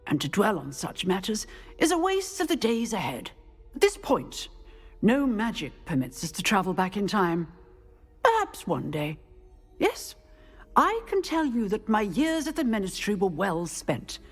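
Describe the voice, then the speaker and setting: Wistful ex ministry witch teacher in a game, husky n lilting, formal, echoey hall. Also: medium pitch, sing-song, soft background music. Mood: calm, reflective. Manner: formal, measured, philosophical. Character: composed, wistful, injured female former ministry agent turned professor. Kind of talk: scripted game dialogue. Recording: long hall reverb.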